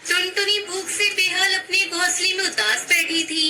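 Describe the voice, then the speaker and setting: A woman's voice: very high voice, steady tone, normal speed. A woman narrating a scripted children's cartoon story. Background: music.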